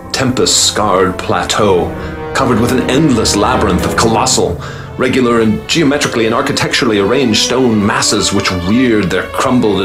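A man's voice: on the low side, lightly acted. An actor playing a male professor and explorer who narrates his discovery in earnest, dramatic tones, in a radio drama. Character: middle-aged male professor, awed, driven explorer. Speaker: narrator and lead character. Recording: music bed behind the voice.

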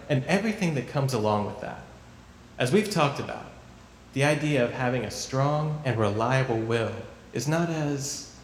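Man acting as gentle camp preacher in echoey hall. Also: medium pitch, natural voice. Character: gentle adult male youth camp preacher. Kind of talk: sermon in an audio drama. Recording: echoey hall with long reverb, faint noise.

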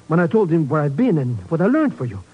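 An actor playing an excitable, cowardly schemer of a sidekick in a 1950s radio drama, stammering and wheedling. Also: male voice, a little high, fast, husky. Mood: eager, surprised. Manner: stammering, wheedling, swooping exclamations. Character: excitable, cowardly comic foreign sidekick, a fast-talking schemer. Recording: noisy, dull old 1950s radio recording.

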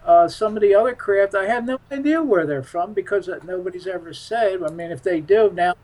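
A man's voice: sits high, fairly quick, a little mellow, low-quality recording. A man speaking as a guest in a casual radio interview, rambling and emphatic in his manner.

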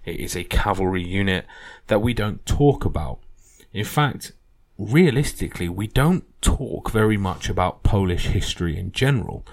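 A man's voice: fairly low pitch, animated, moderate pace, hardly any pauses, darkish tone. A man hosting a history podcast, talking casually and earnestly with plenty of fillers and restarts. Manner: rambling, earnest, many fillers.

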